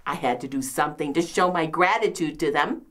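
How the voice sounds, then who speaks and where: A woman's voice: low voice, brisk, a bit bright, voice pushed down. A woman playing a complaining, martyred old mother-in-law from biblical times in a comedic monologue, with a New York accent and theatrical delivery. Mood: dramatic recollection. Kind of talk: comedic dramatic monologue, performed.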